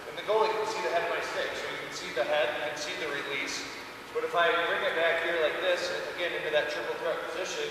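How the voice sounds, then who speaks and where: A man in his thirties coaching a youth lacrosse clinic, explaining drills with a steady stream of "okay"s. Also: high voice. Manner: instructive, punctuated by frequent "okay". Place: large indoor turf arena. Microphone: far camera, no mic. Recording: echoey arena from far off, noisy.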